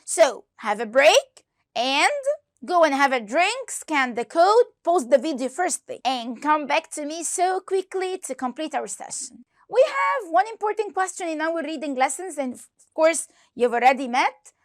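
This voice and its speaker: High-voiced woman teaching English. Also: unhurried, no echo, clean studio sound. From Egypt. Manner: lively, repeats questions to students. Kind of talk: online grammar lesson.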